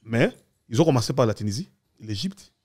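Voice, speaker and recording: A man's voice: mid-range voice, animated, a little fast, a little mellow, husky. A man speaking as a guest in an opinionated political discussion, assertively explaining with examples.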